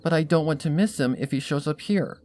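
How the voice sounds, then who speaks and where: A man's voice: a little high, fairly quick, darkish tone, pitched above the performer's own voice, lightly acted. A man voicing an anxious, guarded female pony in a fan fiction reading, curt and evasive.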